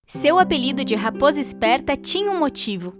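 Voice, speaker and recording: Gentle, wise female stork, played by a woman, Brazilian accent, high and animated, expressive cartoon delivery. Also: a little fast. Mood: gently instructive. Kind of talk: children's cartoon dubbing.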